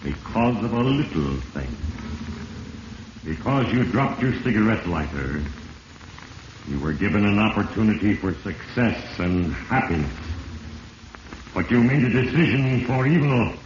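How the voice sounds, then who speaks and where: Low, echoing voice of Fate. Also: male voice, expressive. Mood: ominous judgment. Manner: grave, deliberate, accusing second-person address. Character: Fate, solemn ominous spirit narrating a sinner's downfall. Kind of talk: 1940s radio mystery narration. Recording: muffled echoing vintage radio recording, hissy.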